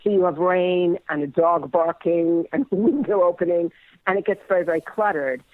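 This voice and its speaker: A woman being interviewed as a writer, explaining her craft conversationally and deliberately, pausing mid-thought. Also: on the low side, no echo. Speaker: interview guest, audio playwright. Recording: narrow phone-like band.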